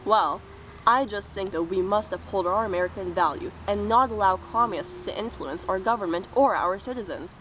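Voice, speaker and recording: A woman's voice: medium pitch, fairly quick, a bit bright, slight background noise, lightly acted. A woman playing an earnest, unyielding male senator in an amateur puppet show, speaking in declamatory political phrases. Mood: self-righteous.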